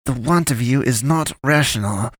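A man's voice: mid-range voice, normal speed, no room sound, studio quality, voice pushed up, natural voice. A man voicing a cold, stiffly formal alien woman disguised as a pastor, speaking in clipped, precise phrases.

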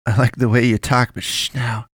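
A man's voice: medium pitch, fairly quick, a bit bright, no echo, clean studio sound, lightly acted. A man doing a leering, drawling drunk lout. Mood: cooing. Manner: sleazy, cooing, drawling.

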